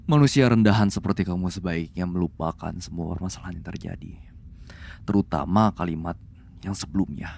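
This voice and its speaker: A man's voice: low voice, a little fast, gravelly, a little mellow. A man playing a young tsundere demon prince in an ASMR roleplay, haughty but easily flustered, slipping between cold menace and casual rambling. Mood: stern, menacing. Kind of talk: Indonesian ASMR roleplay acting.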